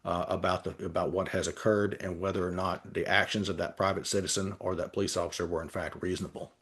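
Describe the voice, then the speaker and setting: A man in his fifties with a Texan accent, a retired police lieutenant answering interview questions in a measured way, with frequent pauses and restarts. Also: sits low, flat delivery, a bit bright. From Texas. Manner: measured, many "uh" fillers and restarts.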